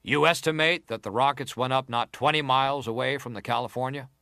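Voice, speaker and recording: Formal, probing senator. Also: male voice, mid-range voice, steady tone, brisk, gravelly, sharp tone, smooth, no room sound, studio quality. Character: formal, probing male senator questioning a witness. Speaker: inquiry chairman, questioner. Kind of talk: dramatized reading of historical testimony.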